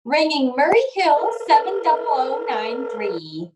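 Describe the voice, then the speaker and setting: A woman's voice: sits high, big pitch swings, a little slow, over music. A woman playing a polite, formal switchboard operator with clipped courtesy in an old-time radio thriller. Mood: formal, courteous.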